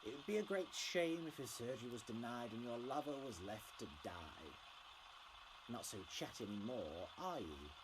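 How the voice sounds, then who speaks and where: An actor playing a menacing, smugly polite government enforcer in an audio drama, speaking formally and condescendingly. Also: male voice, mid-range voice, expressive, normal speed, noisy background, close to an everyday voice. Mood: cold threat. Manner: formal, condescending, veiled threats.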